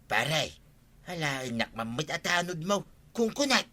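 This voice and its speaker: Aggrieved villager, very bright piercing tone, complaining, insistent, Ilocano accent, radio comedy, higher-pitched male voice. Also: sing-song, moderate pace. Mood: pleading, insistent. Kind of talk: radio comedy drama acting.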